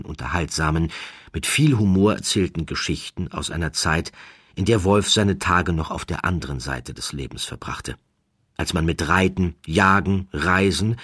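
Deep, husky male narrator. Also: a bit bright. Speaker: audiobook narrator. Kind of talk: scripted literary narration of a biographical story.

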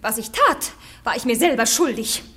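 An actress playing a proud, coolly self-righteous young noble daughter in a comedy radio play. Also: female voice, on the high side, lively intonation, moderate pace, sharp tone. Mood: haughty.

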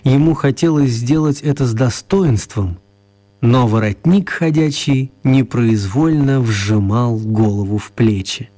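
An actor narrating a children's tale as the storyteller of a radio play. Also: male voice, mid-range voice, unhurried, mellow, lightly acted. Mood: storytelling.

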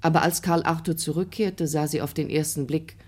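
A woman's voice: deep voice, fairly even intonation, fairly quick, darkish tone. A woman narrating a literary radio drama in a scripted storytelling style.

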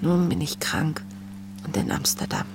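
An actress playing an intense, devout young woman diarist in a radio play, speaking in a hushed, introspective, tender and grieving way. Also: low-pitched, huge pitch swings, a little slow, creaky, music in the background, exaggerated voice. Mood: weary, reflective. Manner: hushed, introspective, often near a whisper. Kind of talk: intimate radio play monologue, diary reading.

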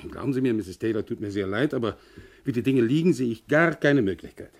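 An actor playing a stern, formal, emphatic company official with a creaky voice in a 1960s German radio crime drama. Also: male voice, medium pitch, fairly quick. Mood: emphatic, unyielding.

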